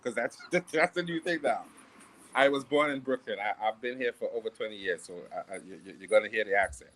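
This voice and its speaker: A man's voice: lowish voice, brisk, fairly bright tone, rough recording. A man with a Trinidadian accent in a casual panel talk, pressing his points emphatically and repeating them.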